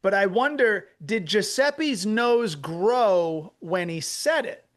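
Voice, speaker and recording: A man's voice: high-pitched, animated, slow. A man co-hosting a comedy podcast, chatting casually with hesitant restarts and wry jokes.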